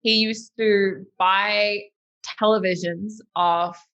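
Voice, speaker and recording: Bright-voiced New Zealand woman in her thirties. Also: mid-range voice, slow pace, muffled recording. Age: 30s. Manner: lively and chatty, many fillers like "like" and "um". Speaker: podcast guest. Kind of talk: casual interview storytelling.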